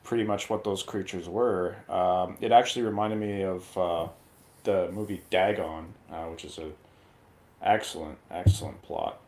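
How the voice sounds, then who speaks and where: A man speaking as a guest reviewer, giving his opinions on films informally and pausing as he thinks. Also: low voice, fairly even intonation, darkish tone. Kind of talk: casual film discussion.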